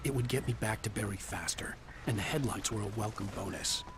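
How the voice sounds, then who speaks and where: Actor as haunted American writer, noisy backdrop. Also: male voice, fairly low pitch, brisk, lightly acted. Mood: brooding. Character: tense, haunted male writer searching for his kidnapped wife. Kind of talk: dramatic game acting and brooding first-person narration. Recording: game audio with clear background noise.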